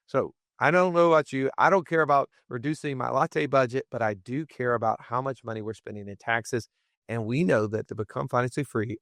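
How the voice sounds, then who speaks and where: A man co-hosting a finance podcast, upbeat and fired up, with a raspy voice. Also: fairly bright tone, dry recording, studio quality. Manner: upbeat, hyped, salesy pitch. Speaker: podcast co-host. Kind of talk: energetic podcast chat.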